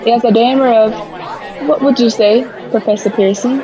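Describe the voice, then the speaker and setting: A woman's voice: fairly high pitch, fairly even intonation, normal speed, highs cut off, close to an everyday voice. A woman playing a radio news reporter in a radio drama, giving dramatic, formal live commentary from the scene. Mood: urgent, observant. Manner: dramatic, formal on-the-spot describing. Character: excited radio field reporter, a woman, describing a mysterious landing. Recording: busy crowd noise around the voice. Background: crowd in a public space.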